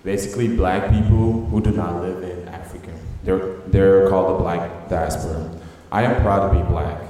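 A man with a very low, dark voice introduces speakers in an earnest, slightly halting way. He is from Sierra Leone and was raised in Belgium. His voice booms with a long reverb through a large hall. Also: fairly even intonation. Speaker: event emcee. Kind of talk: formal introductory speech.

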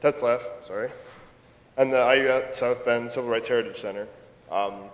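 A man hosting a public event, making formal closing announcements in a somewhat halting way. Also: mid-range voice, fairly even intonation. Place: large echoey hall, public convocation. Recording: echoey hall, long reverb.

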